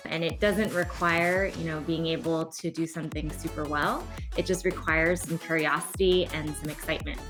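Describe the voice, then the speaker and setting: A woman's voice: deep voice, steady tone, normal speed, hardly any pauses, over music. A woman giving an interview comment in a thoughtful, sincere way. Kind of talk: interview soundbite.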